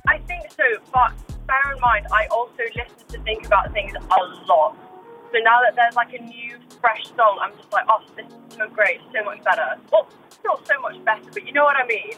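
A woman's voice: a little high, a little fast, sharp tone, faint music behind, low-quality recording. A woman from Iceland chatting informally and effusively as a radio guest, often dropping in "like". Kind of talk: casual chat.

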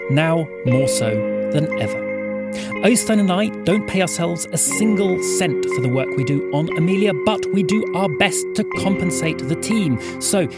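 A woman's voice: on the low side, expressive, normal speed, loud music behind the voice. A woman reading scripted podcast credits and a patron appeal in an earnest, appreciative way.

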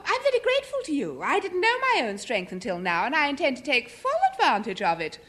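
High-voiced, domineering lady wrestler. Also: big pitch swings, fast, sharp tone, full character voice. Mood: defiant. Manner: imperious, theatrical commands. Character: domineering, mighty lady wrestler turned bossy employee. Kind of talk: 1950s radio sitcom acting. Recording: old radio comedy recording.

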